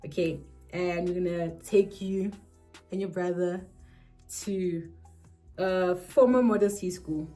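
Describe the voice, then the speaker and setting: A woman's voice: fairly low pitch, warm tone. A woman in her twenties with a South African accent and a non-English first language, chatting casually and playfully to camera, with rambling asides. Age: 20s. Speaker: streamer and vlogger. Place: alone in a bedroom. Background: faint music.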